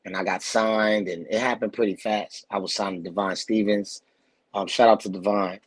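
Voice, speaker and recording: A lower-voiced man from New Jersey with a husky edge tells stories loosely and with slang, laughing as he goes. His voice sounds close and dry, with no room around it, like a video call interview. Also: steady tone, moderate pace, no echo. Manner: laid-back, laughing storyteller with slang. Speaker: guest actor. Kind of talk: casual storytelling interview.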